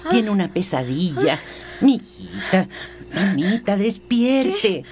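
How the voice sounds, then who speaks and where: An actress playing a gentle, protective old village grandmother in a radio drama, speaking in a comforting, affectionate way. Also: mid-range voice, sing-song, takes it slowly, a little hiss or hum. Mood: soothing. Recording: music behind the voice.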